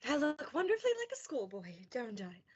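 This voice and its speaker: A woman's voice: high-pitched, huge pitch swings, brisk. A young woman playing a devoted, anxious young wife in a radio play, tender and pleading. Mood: anxious, self-mocking. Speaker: student actor in a high school radio play. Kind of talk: stage-like radio play acting. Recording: dull lo-fi recording.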